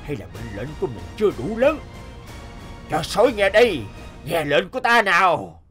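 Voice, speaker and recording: A man plays a wicked, scheming cartoon demon with a short temper. The delivery is theatrical and swings widely in pitch, from muttering to barked commands, with music behind. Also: sing-song, normal speed, fairly bright tone. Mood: triumphant, commanding. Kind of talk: cartoon voice acting. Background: background music.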